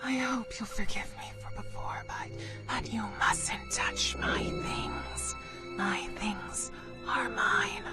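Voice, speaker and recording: An actress playing a fragile, haunted, secretive woman in a horror game, speaking in a breathy, hushed, halting and fearful way. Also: mid-range voice, natural voice. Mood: guarded, eerie. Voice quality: breathy, whispery. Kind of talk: dramatic video game acting. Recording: loud music over the voice.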